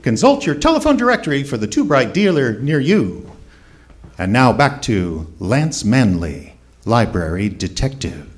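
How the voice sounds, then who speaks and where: Animated male radio announcer. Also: mid-range voice, unhurried. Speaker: announcer and host. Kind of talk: old-time radio announcing at a live show.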